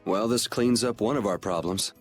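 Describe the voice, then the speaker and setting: Casting: man playing cool sardonic young game hero, lowish voice, music underneath. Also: normal speed, natural voice. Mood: wry. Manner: casual, sardonic. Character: cool, wry, irreverent young swordsman. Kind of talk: video game voice acting.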